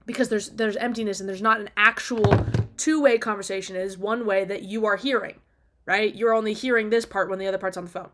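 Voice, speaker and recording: A woman's voice: mid-range voice, fairly even intonation, a little fast, fairly bright tone. A woman talking casually and off the cuff in an opinionated, emphatic rant, exasperated and casually sweary. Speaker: video diarist.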